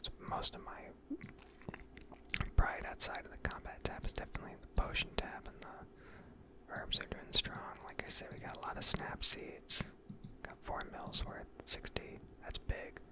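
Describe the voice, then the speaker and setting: Whispering woman doing ASMR game commentary. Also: a little slow. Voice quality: breathy unvoiced whisper. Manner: rambling, unscripted, self-deprecating. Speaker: gaming video creator.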